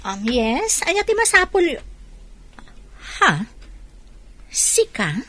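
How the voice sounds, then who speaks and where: An actress with an Ilocano accent playing a haughty, curt young innkeeper in a radio drama. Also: female voice, very high voice, wildly swooping pitch, slow pace, fairly bright tone, heavily acted. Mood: surprised, indignant. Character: haughty, curt young woman running a lodging inn.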